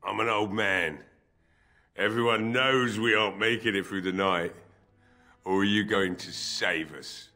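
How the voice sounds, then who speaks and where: Old drunk blacksmith in a fantasy game, weary n bitter, sharp edge to the voice. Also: male voice, fairly low pitch, unhurried, faint music behind. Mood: bitter despair. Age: older. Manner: weary, gruff, despairing. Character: drunken, grief-stricken old village blacksmith, gruff and bitter. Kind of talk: fantasy game dialogue acting.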